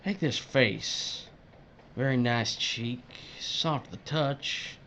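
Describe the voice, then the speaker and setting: A man's voice: medium pitch, takes it slowly, sharp tone, a little hiss or hum. A man playing a crude, cynical older worker who strips corpses, needling and folksy, in an audio drama. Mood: leering, wistful.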